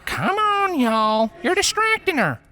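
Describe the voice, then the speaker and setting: A plainspoken earth pony mare ghost, as a man puts her on with a Southern US drawl. Her voice is raised well above his own and sounds a little far off. She is folksy and steady. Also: extremely high pitch, slow, pitched above the performer's own voice, exaggerated voice. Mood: easygoing.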